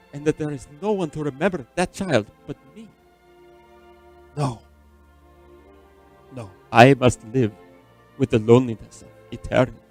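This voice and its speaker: An actor in a radio drama playing a weary, secretive, centuries-old immortal man who speaks in formal, measured, eloquent phrases. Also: mid-range voice, darkish tone. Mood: anguished, lonely. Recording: orchestral film music underneath.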